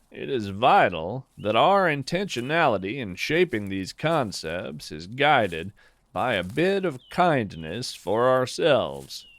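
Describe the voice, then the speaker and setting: Slow, lively-toned male podcast narrator. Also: medium pitch, no echo. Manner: calm, measured, gently philosophical. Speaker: podcast host and writer. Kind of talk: scripted reflective narration.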